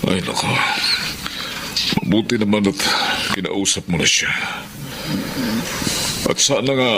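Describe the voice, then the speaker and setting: A man's voice: lowish voice, animated, a little slow. An actor playing a stern, worried middle-aged father in a Filipino radio drama. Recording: clearly noisy background.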